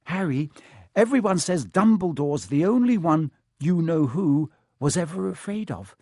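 A man's voice: fairly high pitch, expressive, a little slow, warm tone, dry recording, pitched above the performer's own voice. A man voicing an earnest, anxious, bookish young schoolgirl witch in an audiobook. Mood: worried, reassuring. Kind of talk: audiobook character voice.

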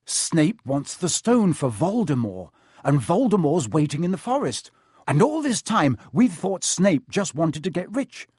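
A man's voice: on the high side, expressive, moderate pace, few pauses, slightly rough, no echo, studio quality, pitched above the performer's own voice. A man voicing an anxious young schoolboy wizard with an urgent, feverish manner in an audiobook. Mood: feverish, agitated. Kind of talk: audiobook character voice.

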